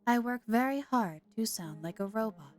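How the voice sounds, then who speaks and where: A young woman chats with her viewers while playing a game on a live stream, often breaking into giggles. Her voice is fairly high and lively, swooping up and down as she talks. Soft game music plays underneath. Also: normal speed. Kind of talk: casual live-stream chat.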